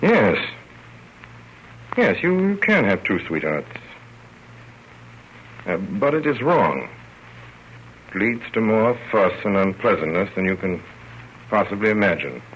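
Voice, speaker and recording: A fond, wry father narrates an old radio story in a very deep, measured, theatrical voice. The sound is muffled with faint noise. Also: sing-song, a bit bright, heavily acted. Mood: earnest, fond.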